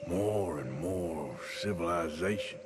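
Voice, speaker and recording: An actor playing a tough, wry Western outlaw with a gruff, folksy American drawl in a video game cutscene. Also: male voice, low-pitched, slow, heavy background noise. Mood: low, wistful. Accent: American, rural Western drawl. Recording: music behind the voice.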